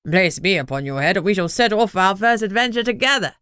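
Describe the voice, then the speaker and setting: A woman's voice: low voice, lively intonation, normal speed, fairly bright tone, smooth, no echo, studio quality, pitched below the performer's own voice. Woman putting on a posh pompous male talking crown. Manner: pompous, formal.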